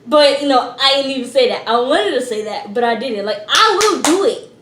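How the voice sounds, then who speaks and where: Loud, high-voiced teenage girl. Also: normal speed, echoey room. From Georgia, US. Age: teens. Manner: loud, expressive, slangy storytelling. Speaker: vlogger. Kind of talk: casual storytime.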